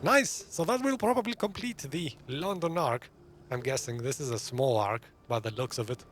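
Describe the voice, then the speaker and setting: A man's voice: mid-range voice, moderate pace, a bit bright. A man giving casual playthrough commentary as host of a gaming video. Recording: clear game noise behind. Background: video game sound.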